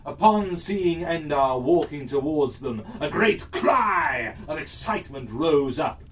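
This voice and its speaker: A man narrating a scripted children's fairytale as a storyteller, expressive and deliberate. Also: a little high. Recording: distant mic with faint background noise.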